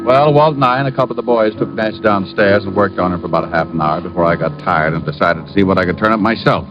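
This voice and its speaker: An actor playing a wry, unflappable private detective in 1940s radio drama style. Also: male voice, lowish voice, natural voice. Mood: dry narration. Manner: wry, flippant, hard-boiled. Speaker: lead actor and narrator. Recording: dull old radio recording with music.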